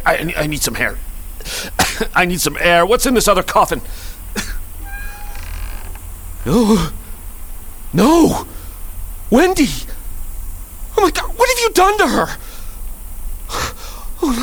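Skeptical brother, voice swinging widely. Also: male voice, very high voice, a bit bright, heavily acted. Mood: uneasy, then horrified. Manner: dry and wry, turning desperate and stammering. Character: skeptical protective brother, growing frantic with fear. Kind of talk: audio play acting.

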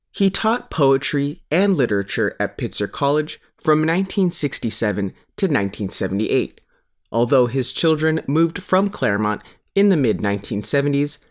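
A man narrating a scripted news article as a reporter, with a measured, formal delivery. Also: mid-range voice. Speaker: newspaper reporter reading his article.

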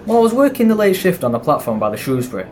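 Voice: mid-range voice, big pitch swings, racing, darkish tone, lightly acted. A performer playing a gossipy, grumbling male railway worker in an amateur audio drama. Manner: colloquial, conversational. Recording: faint background noise and ambience.

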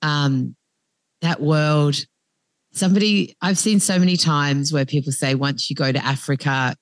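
A mid-pitched podcast host from Australia who thinks aloud, pausing and reaching for words. The sound is dry and close, with no room echo. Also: steady tone. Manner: thoughtful, searching for words. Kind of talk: conversational interview.

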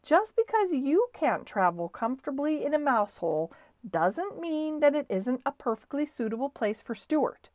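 A woman's voice: high voice, sing-song, normal speed, darkish tone, dry recording, studio quality, voice pushed up. A woman voicing a firm, sensible father in a children's storybook, with a stern, decisive manner. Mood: matter-of-fact.

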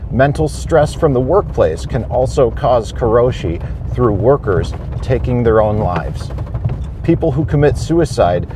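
A man hosting a homestead podcast, talking thoughtfully with pauses and fillers. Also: medium pitch, background noise. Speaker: podcast host. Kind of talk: casual solo podcast talk. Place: on a homestead farm.

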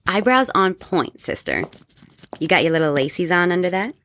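A woman's voice: fairly low pitch, expressive, normal speed, fairly bright tone, slight background noise. An actress playing a breezy, party-hungry young woman in an audio drama. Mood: playful, eager. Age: young adult.

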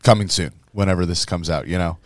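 A hoarse man, a priest co-hosting a podcast in rambling casual chat. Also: low voice, steady tone, fast, no echo, clean studio sound. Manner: rambling, storytelling with laughs.

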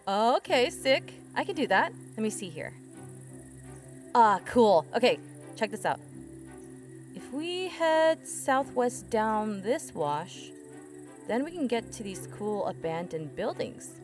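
A woman's voice: a little high, big pitch swings, takes it slowly. A woman playing a brash, eager, foul-mouthed young investigator in an audio drama, with a slangy, sarcastic, pushy delivery. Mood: keen, wry. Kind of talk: full-cast audio drama acting. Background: music.

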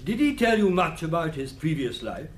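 An actor playing a courteous, formal British coroner in a radio drama, questioning witnesses in a measured, probing way. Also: male voice, fairly high pitch, sing-song. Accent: British English.

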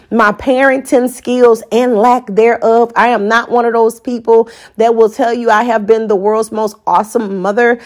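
A woman's voice: fairly high pitch, darkish tone. Candid American woman in her forties. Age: 40s. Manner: candid, colloquial, conversational. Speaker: podcast host. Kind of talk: unscripted personal monologue, casual chat.

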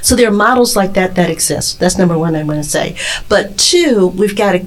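A woman's voice: lowish voice, animated, brisk. A woman speaking as a panel guest, laying out her points step by step with emphasis in an earnest discussion. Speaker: panel guest, child and parent advocate.

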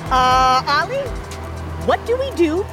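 A woman plays a confident superheroine in an audio drama, teasing and assertive. Her pitch moves in lively swings, and music sits lightly behind her. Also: slow pace, full character voice. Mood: alarmed. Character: teasing, bossy, confident female superhero fighter and girlfriend.

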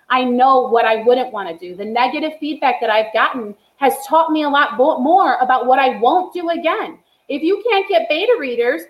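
A woman writer talks in casual chat, blunt and emphatic as she hands out advice. Her voice sits a bit high, and the room around her is echoey. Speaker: podcast guest, writer.

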